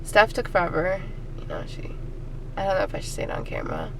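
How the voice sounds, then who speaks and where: A woman's voice: fairly low pitch, wildly swooping pitch, fast talker. A teenage girl from El Salvador chatting casually with a friend outdoors, joking and rambling with lots of fillers. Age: teens. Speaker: friend of the vlogger. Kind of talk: casual chat. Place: outdoors at a carnival and town center. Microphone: vlogger's handheld camera. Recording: outdoor camera audio with crowd and noise.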